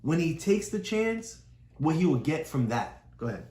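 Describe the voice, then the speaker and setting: Low-voiced woman explaining to a child. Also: very animated, moderate pace, warm tone, slight background noise. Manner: patient, explaining, repeats key phrases. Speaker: parent reading with a child. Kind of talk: talking through a picture book with a child. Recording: echoey room.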